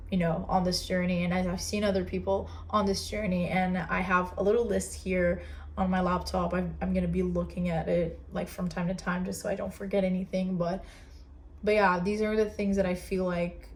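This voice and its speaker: A young woman with a Portuguese accent hosting a casual solo podcast, talking earnestly with many fillers and restarts. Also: mid-range voice. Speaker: podcast host.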